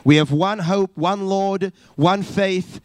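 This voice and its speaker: A man in his thirties preaches from a church stage. His voice sits slightly high for a man and is captured close and dry, with no room sound. He speaks earnestly and often stops with an uh to restart a thought. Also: fairly even intonation, slow, no echo. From South Africa. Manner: earnest, many filler uh's and restarts. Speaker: youth pastor. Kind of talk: sermon. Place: church stage, live service.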